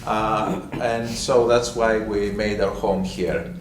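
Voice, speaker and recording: A man's voice: lowish voice, fairly even intonation, takes it slowly, noisy background. Greek-born man, distant and echoey. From Greece. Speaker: panel speaker. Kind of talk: personal story at a community event. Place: library event room.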